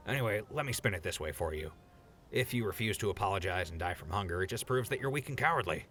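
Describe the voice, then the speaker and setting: A man's voice: low voice, expressive, quick pace, a bit bright. A man playing a wry, needling but sensible ghoul in a post-apocalyptic audio drama, casual and sardonic. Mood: teasing.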